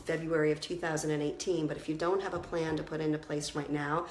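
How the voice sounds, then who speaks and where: A woman's voice: sits low, level tone, fast talker, reverberant. A woman business coach, congested from a cold, giving a conversational, encouraging talk on planning goals. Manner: conversational, encouraging, with frequent restarts. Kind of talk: instructional talk to camera.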